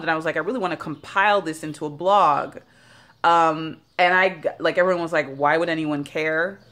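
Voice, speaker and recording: Brief: woman in her thirties from Jamaica, low voice, rambling with fillers, phone at arm's length. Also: bright, forceful tone. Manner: chatty and rambling, with many fillers. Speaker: founder of a beauty brand. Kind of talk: casual vlog talk. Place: bathroom by a bright window. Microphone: phone held at arm's length.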